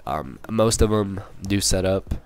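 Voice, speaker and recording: A young man with a cold, hoarse and a little raspy, rambling through casual gameplay commentary with many fillers and restarts. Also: low voice, animated, a little slow, darkish tone, a little hiss or hum. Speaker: gaming channel commentator.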